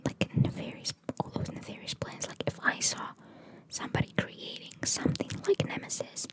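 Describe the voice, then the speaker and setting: A woman whispering in a breathy voice, reading trivia for ASMR and adding chatty, informal asides. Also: normal speed. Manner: informal, chatty, reacting to what is read. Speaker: ASMR host reading video game trivia.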